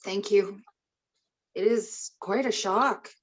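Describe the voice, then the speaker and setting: A woman's voice: mid-range voice, slow pace. A woman playing a polite, hospitable grieving mother in a table read of a drama script. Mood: subdued, polite.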